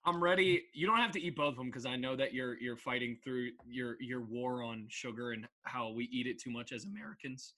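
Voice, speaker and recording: A young man co-hosting a casual podcast, joking with quick asides. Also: mid-range voice. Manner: jokey, animated, quick asides. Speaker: co-host.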